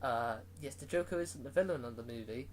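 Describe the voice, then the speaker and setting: A man's voice: medium pitch, brisk, slight background noise. A man giving a casual, rambling movie review, full of fillers and restarts.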